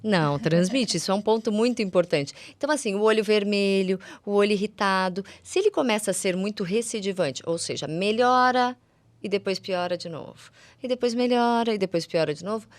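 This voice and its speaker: A woman's voice: mid-range voice, brisk, dry recording. A woman with a Brazilian accent speaking as a guest eye doctor, explaining things conversationally and didactically, repeating words for emphasis and using affectionate diminutives. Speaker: guest ophthalmologist. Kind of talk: conversational medical explanation for lay audience.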